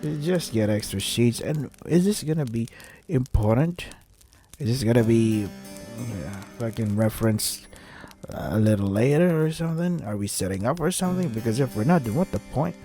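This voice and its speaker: A man with a dark, mellow voice of average pitch reads a visual novel aloud as a let's play host. His commentary is irritated and sarcastic, loaded with swearing. Faint music runs behind him. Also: expressive, moderate pace.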